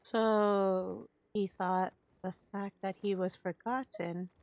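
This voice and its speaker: Slow-talking woman game streamer, music behind. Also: medium pitch, fairly even intonation, darkish tone, no echo. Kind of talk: casual playthrough commentary, reading choices aloud.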